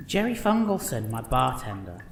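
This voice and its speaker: A man's voice: mid-range voice, very animated, normal speed, creaky. A cheeky, unrepentant jailed publican acted by a man with a British accent. He talks casually and animatedly in a comic fantasy audio drama, in an echoey space. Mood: nonchalant. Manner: matey, casual, slangy. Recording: echoey with faint background noise.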